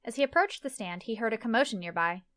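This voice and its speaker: A woman's voice: a little high, animated, fast talker, a bit bright. A woman reading scripted narration for a fantasy story as its narrator.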